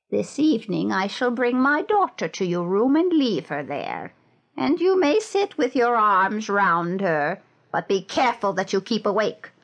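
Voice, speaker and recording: Woman playing an imperious old witch queen, slow and swooping. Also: medium pitch, gravelly, a bit bright, pitched below the performer's own voice, heavily acted. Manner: slow, measured, menacing pronouncements.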